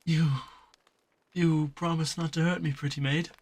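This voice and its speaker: A man playing a frightened young jackalope creature in an audio drama, with a raspy, creaky voice and a timid, halting way of speaking. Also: a little high, little pitch movement, darkish tone, no room sound. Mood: fearful, pleading. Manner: timid, halting, repeating words.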